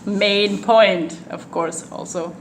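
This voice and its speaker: A Danish woman in her twenties with a Danish accent, a student answering interview questions in English in a thoughtful way, pausing often with ums and restarts. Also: medium pitch, steady tone, slight background noise, poor audio. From Denmark. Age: 20s. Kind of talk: casual interview conversation.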